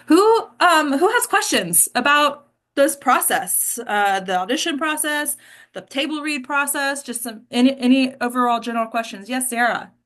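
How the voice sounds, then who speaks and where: Higher-voiced woman coaching a group. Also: lively intonation, normal speed, a bit bright. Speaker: host and acting coach. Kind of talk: informal coaching talk to a group.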